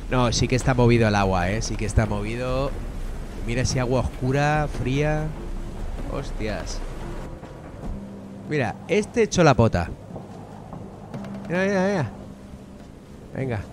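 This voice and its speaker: A man's voice: mid-range voice, animated, a little slow, pauses often, background noise. A man from Spain with a Madrid accent, a streamer joking and swearing while reacting to a documentary. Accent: Madrid Spanish. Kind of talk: casual reaction commentary.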